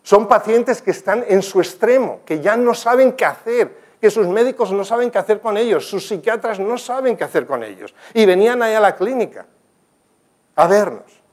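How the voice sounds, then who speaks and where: A man's voice: high-pitched, moderate pace. Older guy lecturing at a conference, earnest storytelling, mic in a meeting room. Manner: earnest, storytelling, animated gestures, some restarts. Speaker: conference speaker. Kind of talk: conference talk with slides. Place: conference room with projector screen.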